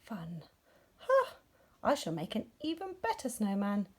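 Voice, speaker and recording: Storybook rabbit, male played by a woman: gloomy, haughty expert, stern and lecturing, with lively pitch swings. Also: measured pace, breathy edge, exaggerated voice. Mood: grudging. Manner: self-important, lecturing, severe. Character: grumpy, gloomy, pompous know-it-all male rabbit. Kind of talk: children's storybook character.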